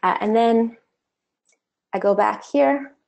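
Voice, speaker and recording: Woman doing a screen share tutorial, kinda gravelly, a bit hoarse, chatty. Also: a little high, slow, a little mellow. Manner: casual, conversational explaining with fillers. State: slightly losing her voice. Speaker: online course instructor.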